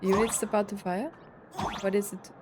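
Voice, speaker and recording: A woman's voice: medium pitch, flat delivery, normal speed, noisy background. A woman doing casual gameplay commentary, reacting aloud in a giggly, self-deprecating way with exclamations. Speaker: game streamer. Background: game music.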